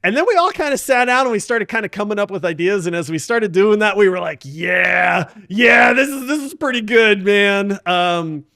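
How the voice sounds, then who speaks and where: A man hosting a casual gaming podcast with excitable, hyped-up banter. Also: high-pitched, moderate pace, few pauses, dry recording, clean studio sound. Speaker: podcast host.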